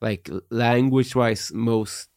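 A male interviewer who asks relaxed questions and keeps up brief agreeing murmurs. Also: medium pitch, slow.

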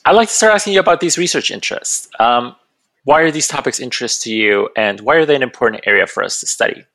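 A man speaking as the host of an academic podcast interview, in a measured, formal register. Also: mid-range voice, lively intonation, vocal fry, bright, forceful tone. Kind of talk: podcast interview with scripted introduction.

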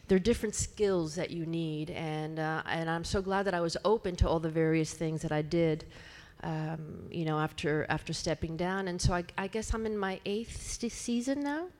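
Chatty Cuban-born woman, lowish voice. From Cuba. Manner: warm and chatty, laughs easily, with "um" pauses. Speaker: artistic director, panel guest. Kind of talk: live panel conversation. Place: stage before a live audience.

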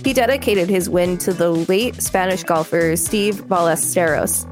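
Woman reading aloud over clear background music. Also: fairly low pitch, measured pace. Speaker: podcast co-host reading an article aloud. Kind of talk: read-aloud narration with casual banter.

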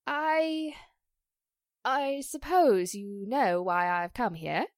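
A woman playing a distressed, pleading stage actress in a 1940s-style radio drama, halting and emotional. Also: a little high, no echo, studio quality. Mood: tense, halting. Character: distressed stage actress accused of a publicity stunt, pleading, wounded.

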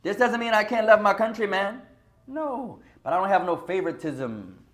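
A man giving an informal religious lesson, talking straight to his audience and mixing in Arabic phrases. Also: high voice. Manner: conversational, mixes Arabic phrases, addresses audience as brothers. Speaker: Islamic teacher.

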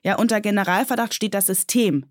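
An actress playing an earnest, self-critical policewoman being interviewed, speaking haltingly as she searches for words. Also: medium pitch, dry recording, clean studio sound, close to an everyday voice. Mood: earnest, defensive. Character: earnest, self-critical female police officer under questioning. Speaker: police officer, interview guest. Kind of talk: acted interview in a scripted audio drama.

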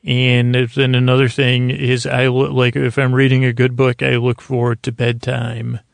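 A man hosting a bedtime podcast in a creaky voice, meandering and halting as he trails off mid-thought. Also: medium pitch, monotone, no echo. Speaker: podcast host. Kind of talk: rambling bedtime monologue to help listeners sleep.

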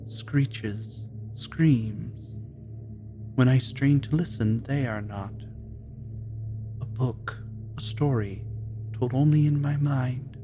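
An actor playing an anguished, haunted man in a horror audio drama, speaking in a halting, strained, dramatic way. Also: medium pitch, dark tone. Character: anguished man haunted by sounds in his mind.

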